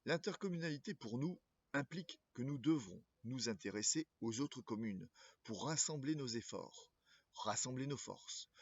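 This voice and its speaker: A man speaking as a local political candidate, reading a scripted address in a formal, measured way and occasionally stumbling over words. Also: medium pitch, breathy edge, no echo, highs cut off.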